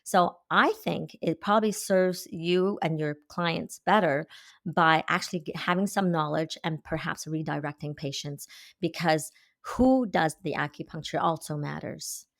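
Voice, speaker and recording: Low-voiced woman, earnest and explanatory. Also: measured pace, creaky, no room sound, studio quality. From Canada. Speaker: guest, acupuncturist. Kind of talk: interview answers.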